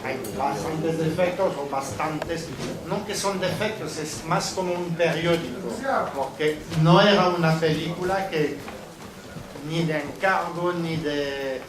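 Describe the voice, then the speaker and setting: A middle-aged man with a French accent, a film director speaking haltingly after a screening, often restarting his phrases. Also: fairly high pitch. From France. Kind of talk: post-screening Q&A. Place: cinema hall. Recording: echoey hall from far off with noise.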